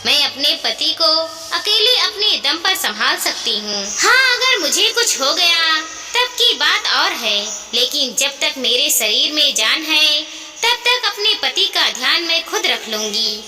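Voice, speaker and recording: A woman's voice: sits high, sing-song, measured pace, sharp tone, exaggerated voice. A woman voicing a proud, hurt elderly mother in an emotional, emphatic moral story. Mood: pleading, firm. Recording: echoey reverberant room, clear background noise.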